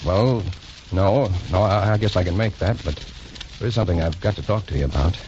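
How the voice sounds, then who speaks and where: An actor playing an earnest, guilt-torn young doctor in a 1940s radio drama, speaking haltingly and apologetically. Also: male voice, low-pitched, dull audio, noisy background, close to an everyday voice. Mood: hesitant, uneasy. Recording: 1940s radio broadcast with music behind.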